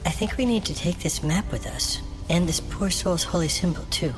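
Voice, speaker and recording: Actress as conscientious female fantasy adventurer; lowish voice, subdued and earnest, light music underneath. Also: normal speed, lightly acted. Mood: sad, resolute. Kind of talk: fantasy audiodrama dialogue.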